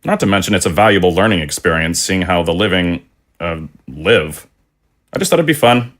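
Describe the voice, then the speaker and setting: An actor playing a mild, friendly middle-aged dad in an audio drama, casual and a little hesitant. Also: male voice, low voice, expressive, fairly quick, a bit bright. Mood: evasively upbeat. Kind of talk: naturalistic audio drama acting.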